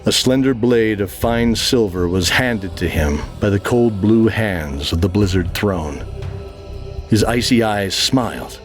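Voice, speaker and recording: A man's voice: fairly low pitch, unhurried, music in the background. A man narrating a fantasy audio drama in a scripted, dramatic storytelling style.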